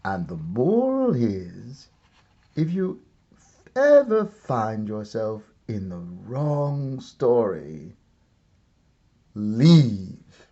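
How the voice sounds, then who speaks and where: A man reads a children's story aloud as an expressive narrator, theatrical and deliberate with comic timing. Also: lowish voice, muffled recording. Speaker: storybook reader, art and stories teacher.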